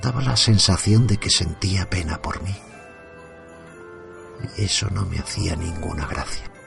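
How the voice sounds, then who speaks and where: Un hombre interpreta a un padre atormentado que narra un relato de terror en primera persona, con tono sombrío, medido y dramático. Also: male voice, low voice, gravelly, fairly bright tone, faint music behind, full character voice. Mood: subdued, gloomy. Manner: somber, measured, dramatic. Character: tormented father haunted by evil, telling his story. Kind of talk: first-person horror narration.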